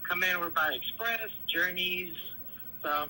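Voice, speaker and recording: A man's voice: high-pitched, measured pace, sharp tone, rough recording. A man working in a store, taking a customer call in a polite, patient, hesitant way, heard through a phone speaker. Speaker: store employee. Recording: phone speaker picked up, faint noise.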